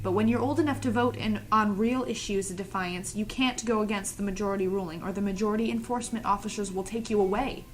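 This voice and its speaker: A woman's voice: medium pitch, fairly even intonation, quick pace, few pauses, a little hiss or hum, close to an everyday voice. A woman playing a strict, self-righteous trainer of children in an audio drama table read, stern and lecturing.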